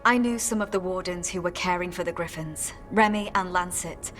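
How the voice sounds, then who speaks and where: A woman's voice: lowish voice, normal speed, fairly bright tone, soft background music, lightly acted. A woman playing a nervous, earnest former warden recruit in a fantasy game, speaking hesitantly and solemnly. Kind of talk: game dialogue acting.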